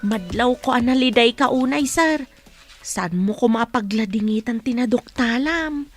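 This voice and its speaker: A woman's voice: a little high, measured pace, faint music behind. A woman playing a respectful, soothing household helper in a radio drama. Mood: consoling. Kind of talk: Ilocano radio drama acting.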